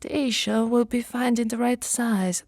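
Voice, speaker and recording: Grumpy giantess , played by a woman, dismissive and grudging toward tiny humans, mellow tone, dry close ASMR roleplay sound. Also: a little high, fairly even intonation, moderate pace, no room sound. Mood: reluctantly softening.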